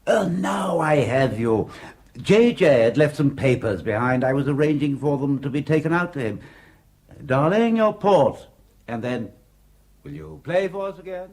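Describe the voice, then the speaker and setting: A man's voice: a little high, expressive, unhurried, darkish tone. An actor playing a suave, patronizing host who keeps his plans hidden, in a radio play. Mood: evasive. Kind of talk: radio drama acting.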